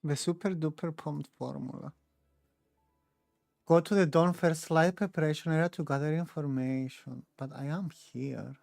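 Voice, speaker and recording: Low, creaky woman playing a game. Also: moderate pace, darkish tone, dry recording, faint music behind. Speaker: gameplay streamer. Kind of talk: casual gameplay commentary.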